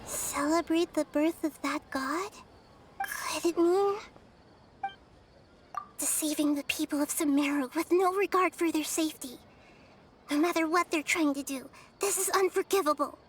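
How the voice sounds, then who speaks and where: A woman voicing a gentle, wise young girl deity in a video game, speaking in a measured, earnest way. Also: high voice, a little hiss or hum. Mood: grave resolve.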